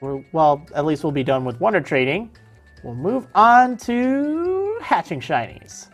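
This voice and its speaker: A woman's voice: low voice, sing-song, measured pace, faint music behind. A woman streaming a video game in casual chat, answering viewers as she plays, her voice strained and tiring. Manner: chatty, answers viewer chat while playing.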